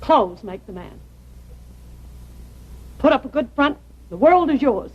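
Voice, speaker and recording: An anxious American mother fusses and pleads in an extremely high, plaintive voice. It is a small-town television drama with dull, old broadcast sound. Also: animated, a little slow, slightly rough, heavily acted. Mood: solemn, pleading.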